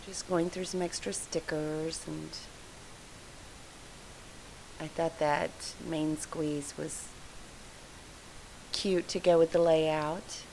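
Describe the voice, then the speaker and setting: A woman's voice: deep voice, level tone, slow pace, darkish tone, a little hiss or hum, low-quality recording. A woman with a froggy, congested voice from allergies, chatting in a rambling, apologetic way as she narrates her scrapbooking process from her home craft room. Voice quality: froggy, gravelly voice. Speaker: scrapbooking video host.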